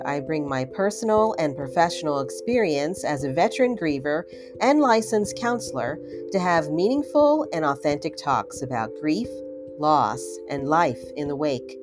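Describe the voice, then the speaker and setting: A woman who is a counselor hosting a grief podcast, talking gently and in a measured way, a little stuffy from allergies. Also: sits low. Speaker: podcast host and licensed counselor. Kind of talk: solo podcast talk.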